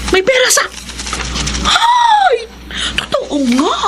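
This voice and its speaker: A woman's voice: extremely high pitch, huge pitch swings, slow pace, background noise, exaggerated voice. An actress playing a caring, hard-up mother who works as a housemaid in a radio drama, plain-spoken and deferential to her employer. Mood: astonished. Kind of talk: Tagalog radio drama acting.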